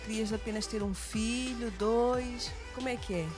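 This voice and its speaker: A woman's voice: on the high side, steady tone, normal speed, over music. A woman with a European Portuguese accent hosting a conversational interview programme for women. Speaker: host of a women's church programme.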